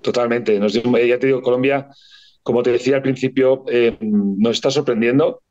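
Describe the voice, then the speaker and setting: A man's voice: mid-range voice, fairly even intonation, fairly quick, dark tone, highs cut off. A man from Barcelona with a Spanish accent, a company founder answering interview questions fluently but with frequent hesitations and repeated words. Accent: Spanish from Spain. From Spain, Barcelona. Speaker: startup founder and CEO, guest.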